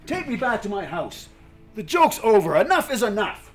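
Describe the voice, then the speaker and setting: A man's voice: high-pitched, very animated, normal speed, soft background music. A middle-aged man playing a bewildered ordinary husband, hesitant and stammering, in a film drama. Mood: indignant. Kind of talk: amateur film drama acting.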